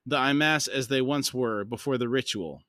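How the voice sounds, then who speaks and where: A wary, plain-spoken mortal man read by a male narrator in a fantasy audiobook. His voice is average in pitch, recorded dry and close. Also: moderate pace, a bit bright, no echo, lightly acted.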